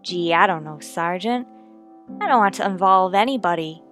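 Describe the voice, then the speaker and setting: A woman's voice: lowish voice, moderate pace, fairly bright tone, soft background music, natural voice. Woman playing a wistful, hesitant shop saleswoman. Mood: reluctant. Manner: hesitant, subdued. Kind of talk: old-time radio detective drama acting.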